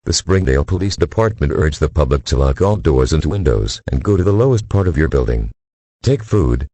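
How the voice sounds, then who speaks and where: A man with an extremely low voice delivers formal emergency alert announcements. His pitch swings noticeably as he reads, and the delivery stays stiff and official, like a scripted public warning. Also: dark tone, slightly rough, no room sound.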